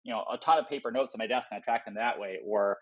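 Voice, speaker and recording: Man, mid-range pitch, slightly rough husky tone, conversational interview guest, informal explainer. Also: quick pace, poor audio. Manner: informal, explanatory, acts out imagined quotes. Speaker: software company founder, podcast guest.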